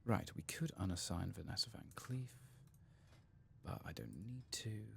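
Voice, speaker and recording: A man hosting a gaming video in a soft-spoken ASMR style, sometimes whispering, murmuring his thoughts unhurriedly as he plays. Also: lowish voice, vocal fry, a little hiss or hum. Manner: murmured, unhurried musing to himself.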